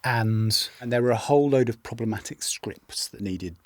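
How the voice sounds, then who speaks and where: A man's voice: medium pitch, moderate pace, no room sound. A man who works as a writer and script editor, reminiscing conversationally and quoting others as he tells anecdotes.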